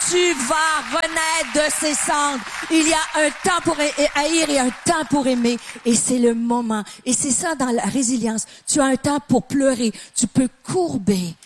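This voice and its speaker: A woman's voice: high-pitched, measured pace, few pauses, slight background noise. A middle-aged woman from Canada with a Québécois French accent, preaching a sermon with passionate emphasis, building to exclamations.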